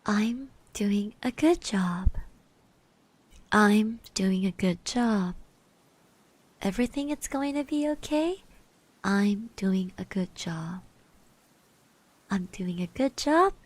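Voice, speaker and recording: A woman playing a shy, caring schoolgirl classmate in a soft, partly whispered ASMR roleplay, speaking hesitantly and bashfully with gentle encouragement. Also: mid-range voice, lively intonation, slow, long pauses. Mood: gentle, soothing.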